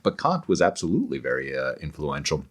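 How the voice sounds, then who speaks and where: A man speaking as a scholarly interview guest in thoughtful conversation, digressive and halting, often repeating words as he goes. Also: rumbling low, wildly swooping pitch.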